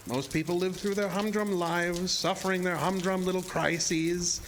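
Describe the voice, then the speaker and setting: Guy doing a creepy ageless illusionist villain, theatrical and smooth-talking formal style, horror audio drama, water noise in back. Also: sits high, fairly even intonation, normal speed. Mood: brooding, seductive. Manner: theatrical, courtly, insinuating formal speech. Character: sinister, seductive showman-illusionist, deceptively ageless male villain.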